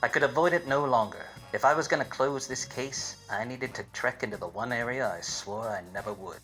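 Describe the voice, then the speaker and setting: An actor playing a self-serious, obtuse private eye in a parody of 1940s detective radio plays, with melodramatic hard-boiled delivery. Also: male voice, medium pitch, brisk, a bit bright, close to an everyday voice, poor audio. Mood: brooding. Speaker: narrator and lead of a radio play. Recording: music under the voice.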